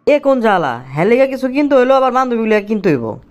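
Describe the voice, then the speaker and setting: A woman's voice: on the high side, very animated, quick pace, a little mellow, dull audio. A woman voicing an exasperated, henpecked young husband in a comic cartoon, complaining with a Bangladeshi accent. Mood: dismayed. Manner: complaining, incredulous. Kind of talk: comic cartoon dialogue in colloquial dialect.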